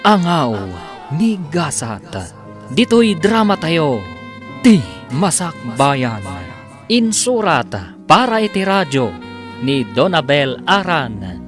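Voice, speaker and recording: A man's voice: on the high side, big pitch swings, slow pace. A man with a Filipino accent reading scripted narration as a radio drama announcer. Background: music.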